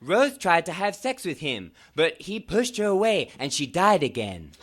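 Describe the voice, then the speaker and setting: A man's voice: high-pitched, big pitch swings, normal speed, background noise. A man performing a comedic reading as a melodramatic, gushing nineteen-year-old fangirl telling her own story with exclaiming emphasis.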